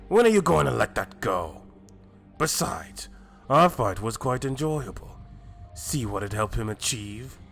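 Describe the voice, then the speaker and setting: A man's voice: mid-range voice, very animated, unhurried, gravelly, fairly bright tone, soft background music, exaggerated voice. A man voicing a brash, impatient god of destruction with a casual, blunt, taunting delivery in an anime fan drama. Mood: smug.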